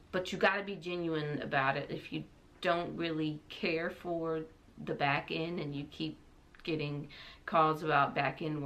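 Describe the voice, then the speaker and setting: Young woman vlogger, slightly low voice. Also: a bit bright. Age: young adult. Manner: giggly, self-deprecating, rambling. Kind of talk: casual, chatty vlog talk to camera.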